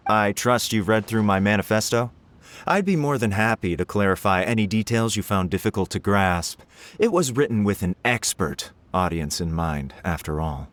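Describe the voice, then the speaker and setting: Pompous, low-voiced scholarly official. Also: male voice. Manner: pompous, condescending, formal. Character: arrogant, condescending scholarly male official. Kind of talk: video game character acting. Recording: game music playing faintly behind.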